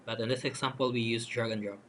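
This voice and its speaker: Male voice, slightly low pitch, halting tutorial narration, step-by-step explanation, background noise. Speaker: software demonstrator. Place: voice-over for a screen recording. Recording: noticeable steady background noise.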